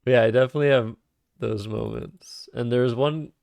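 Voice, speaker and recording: A man streaming a word game who thinks aloud about his moves in a calm, soothing, soft-spoken way, recorded on a cheap microphone. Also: medium pitch, moderate pace, no room sound. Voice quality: vocal fry. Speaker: Scrabble streamer. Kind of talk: live-streamed game commentary.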